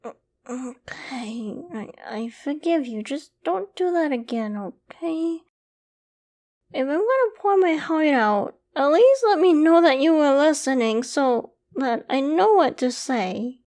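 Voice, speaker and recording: A woman's voice: high voice, lively intonation, slow, exaggerated voice. A woman playing a shy, loving, easily flustered young girlfriend in an intimate ASMR bedtime roleplay, speaking tenderly and hesitantly. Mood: shy pouting. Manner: tender, hesitant, soft-spoken with stammers.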